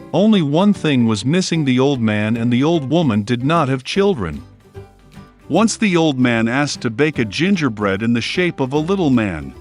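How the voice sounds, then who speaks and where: A man reading a scripted fairy tale for children as a storyteller. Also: mid-range voice.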